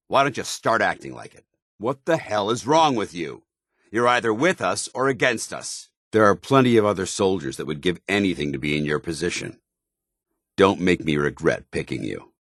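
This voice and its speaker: Deep-voiced, stern military paladin. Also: male voice, a bit bright, no room sound, studio quality, exaggerated voice. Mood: stern, disappointed. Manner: formal, clipped, commanding, earnest. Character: stern, earnest military paladin, loyal to his order. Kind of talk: video game companion dialogue.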